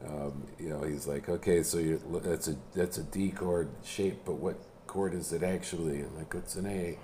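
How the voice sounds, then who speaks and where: Very deep-voiced man, halting casual talk. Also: fairly even intonation, mellow, a little hiss or hum. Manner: halting, many restarts and fillers, self-deprecating. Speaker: musician guest. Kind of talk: casual chat.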